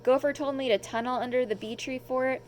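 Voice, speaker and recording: A woman's voice: high voice, steady tone, fast talker, darkish tone, a little hiss or hum, natural voice. A woman voicing a simple, earnest male toy bear in a children's storybook, mild and naive in manner.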